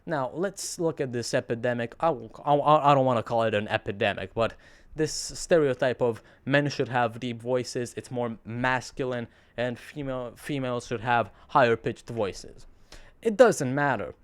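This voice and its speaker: Teen guy with a deep radio voice rambling earnestly to camera, sarcastic asides, restarts a lot. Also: normal speed, smooth. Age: teens. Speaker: vlogger. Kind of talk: casual monologue to camera.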